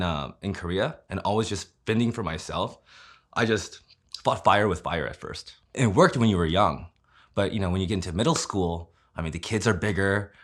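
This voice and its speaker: A man, telling his own story in an interview in a conversational way, often using fillers and tag questions. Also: low-pitched. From Kansas City, Missouri, USA.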